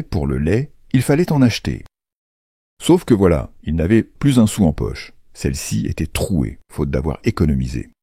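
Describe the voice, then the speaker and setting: A male storyteller narrates a children's fairy tale from a script. His voice is deep, soft-edged and dark, with a husky grain. The delivery is measured, with a playful, wry touch. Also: no room sound.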